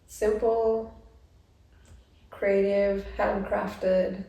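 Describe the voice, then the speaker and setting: Brief: woman in her forties from Norway, casual family vlogger, fry in the voice, sounds far off in a roomy space. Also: mid-range voice, level tone, extremely slow, warm tone. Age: 40s. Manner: searches for words, laughs easily. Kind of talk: casual chat.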